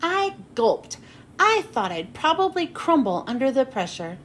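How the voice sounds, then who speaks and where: A woman narrating a children's storybook expressively, with dramatic pauses and drawn-out phrase endings. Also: sits high, sing-song. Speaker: children's book read-aloud host. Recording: noticeable background noise.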